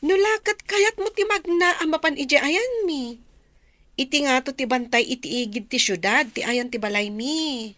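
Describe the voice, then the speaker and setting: An actress playing a friendly, modest young coworker in a radio drama, with an Ilocano accent. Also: female voice, high voice, normal speed, fairly bright tone, dry recording. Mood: friendly, inviting.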